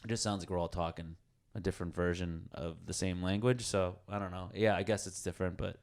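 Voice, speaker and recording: A man's voice: low voice, a little fast. A young man hosting a podcast, telling stories in casual chat and laughing often. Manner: animated storyteller, laughs a lot. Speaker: podcast host.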